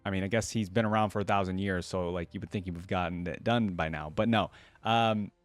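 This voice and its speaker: A man's voice: deep voice, fairly even intonation, fast, no room sound, faint music behind. A man hosting a reaction video, talking casually and rambling informally as he muses, close to the microphone.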